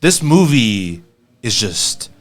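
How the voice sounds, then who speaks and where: A man's voice: mid-range voice, very animated, slow pace. A man co-hosting a movie podcast, chatting casually with joking interjections and rants. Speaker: podcast co-host reviewing movies. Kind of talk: casual chat.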